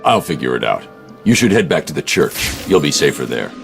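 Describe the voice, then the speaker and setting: Grim game vigilante, extremely low pitch, gravelly creak, terse, commanding, controlled. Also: male voice, sing-song, fairly quick, soft background music, exaggerated voice. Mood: stern, reassuring. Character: grim, commanding male masked vigilante hero.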